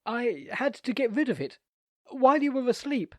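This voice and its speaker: A man reading a story aloud, voicing a nervous, embarrassed young gentleman who speaks in a desperate, high-strung way. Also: very animated, normal speed, dry recording. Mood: flustered.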